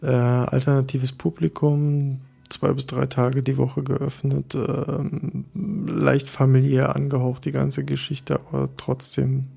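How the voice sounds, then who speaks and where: A man speaks in a low, gravelly, mellow voice. He keeps his pitch fairly flat and steady. He answers thoughtfully, hesitating often and restarting his phrases. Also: slow, hardly any pauses. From Berlin, Germany. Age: 30s. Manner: hesitant, many fillers and restarts. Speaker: bar co-owner interviewee. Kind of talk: reflective interview answers.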